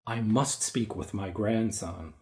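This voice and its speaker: Weary old king of the gods, gentle father played by a man. Very slow, solemn, dark soft tone, radio-play style. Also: on the low side. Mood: weary, resolved. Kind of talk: dramatic reading like a radio play.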